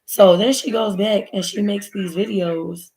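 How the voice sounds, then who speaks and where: Hoarse gravelly woman chatting to camera. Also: mid-range voice, fairly even intonation, unhurried, a little mellow, poor audio. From Baltimore, USA. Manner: chatty, rambling, addresses viewers as y'all. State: hoarse, lost voice. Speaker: vlogger. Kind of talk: casual story time while doing nails. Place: at home. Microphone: laptop built-in mic.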